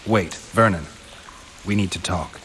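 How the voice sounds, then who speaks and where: An actor playing a stoic, world-weary monster hunter in a video game, terse and dryly understated. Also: male voice, low-pitched, measured pace, a bit bright, slight background noise. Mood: resolute. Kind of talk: video game cutscene acting. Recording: rain and ambience behind the voice.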